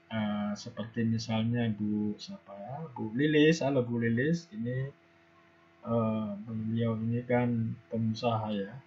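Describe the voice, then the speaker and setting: A hoarse man giving an online university lecture, pausing often with fillers, heard over a video call. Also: medium pitch, a little mellow. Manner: hesitant, many filler pauses. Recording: dull lo-fi video call audio.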